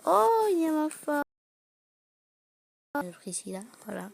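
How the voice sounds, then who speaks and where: Young female vlog host, fairly high voice, nasally congested and sick, improvised casual delivery with repeats, slightly noisy home-made audio. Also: sing-song, gravelly. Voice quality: congested, blocked-nose voice. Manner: halting, repeating words, exclaiming. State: sick with a cold. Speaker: host of a game house tour video. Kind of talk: casual improvised tour commentary. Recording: noisy amateur recording with clicks.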